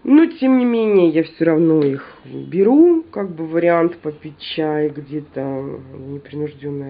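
A woman's voice: deep voice, vocal fry, lo-fi sound, a little hiss or hum. A woman with a stuffy nose from a cold, chatting casually to her viewers as she shows off a shopping haul at home. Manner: chatty, animated, addresses viewers directly.

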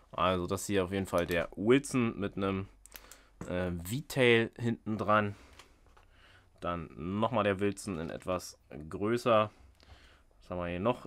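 A man's voice: on the low side, a little slow, long pauses, a bit bright. A man hosting a casual unboxing vlog indoors, chatty and jokey, thinking aloud with fillers as he goes. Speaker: fishing channel host. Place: indoors at a table. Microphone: off-camera microphone, top-down camera.

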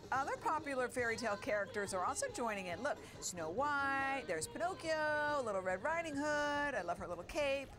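A woman's voice: high voice, sing-song, moderate pace, hardly any pauses. A woman co-hosting a parade broadcast with gushing, exclamatory, enthusiastic commentary. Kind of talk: enthusiastic live event commentary. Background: music.

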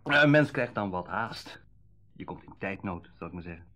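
Low-voiced, musing middle-aged car dealer. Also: male voice, expressive, normal speed, pushed and bright, soft background music. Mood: reflective. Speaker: actor in a radio drama. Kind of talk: Dutch radio play acting, naturalistic dialogue.

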